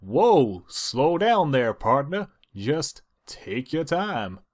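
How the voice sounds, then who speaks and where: A man's voice: fairly high pitch, sing-song, very slow, a little mellow, heavily acted. A man voicing a hard-working young female farm pony with a folksy Southern American drawl, bossy and familiar. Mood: coaxing.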